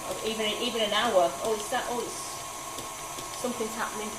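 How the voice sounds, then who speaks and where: A woman's voice: medium pitch, fairly even intonation, brisk. Manchester lady baking on a live stream, talks through every step, echoey kitchen, humming noise behind. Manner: chatty, talks through each step as she works. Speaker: baker demonstrating a recipe. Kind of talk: live cooking demonstration. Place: home-style kitchen. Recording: echoey kitchen with background hum.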